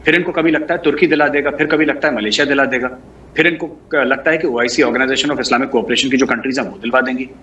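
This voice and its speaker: A man's voice: medium pitch, steady tone, fast talker, pushed and bright. A man giving political commentary as a guest, emphatic, piling up rhetorical questions and repeated lists. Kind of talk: political discussion, answering a host. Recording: clearly noisy background.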